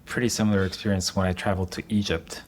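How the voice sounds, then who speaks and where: A man's voice: low-pitched, little pitch movement, fast talker, a little hiss or hum. A man co-hosting a podcast, speaking in an easygoing, slightly hesitant casual way and chuckling mid-sentence. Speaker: podcast co-host. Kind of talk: casual conversation.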